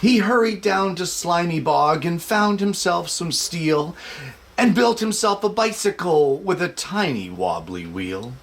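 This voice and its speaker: A man hosting a show for families and reading a children's picture book aloud in an expressive, measured way. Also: on the high side, creaky. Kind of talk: casual introduction, then expressive storybook read-aloud.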